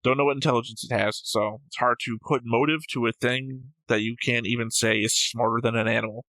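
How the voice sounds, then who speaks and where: Male co-host for casual analytical talk. Crisp-edged bright tone, close dry sound, methodical explainer who argues each point. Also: medium pitch, fairly even intonation, fairly quick, no echo, rough recording. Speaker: reaction show co-host.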